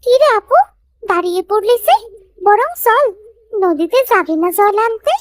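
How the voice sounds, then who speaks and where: A woman voicing an excitable, easily frightened young village girl in a cartoon story. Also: very high-pitched, measured pace, no room sound. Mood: curious, chatty.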